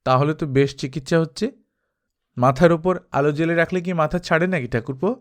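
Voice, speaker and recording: A man's voice: on the high side, fast talker, lightly acted. A man voicing a playful, teasing young woman, a secretive sister-in-law, in a dramatised Bengali novel reading. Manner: teasing, mock-scolding.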